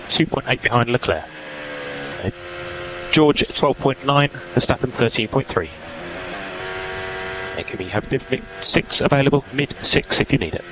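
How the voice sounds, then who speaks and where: A man working as a race engineer, giving terse, matter-of-fact technical instructions and numbers over team radio. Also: mid-range voice. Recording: noisy team radio, engine and car noise.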